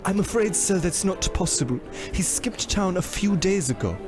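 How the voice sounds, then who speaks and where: A man acts a gossipy, fussy hotel clerk for a comic radio drama. The voice is high and swoops up and down, with music clearly underneath. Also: animated, moderate pace. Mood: polite.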